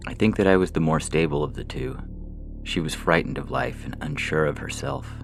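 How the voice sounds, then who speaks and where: A man reading a science fiction short story as scripted literary narration, in a measured, formal way, with music behind him. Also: a bass voice, gravelly. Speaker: story reader. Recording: music bed under the voice.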